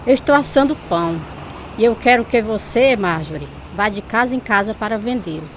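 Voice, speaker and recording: A woman's voice: medium pitch, expressive, brisk, a little hiss or hum, natural voice, poor audio. A woman playing a practical, firm mother in a radio drama, giving instructions. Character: practical, firm hard-pressed mother of a household.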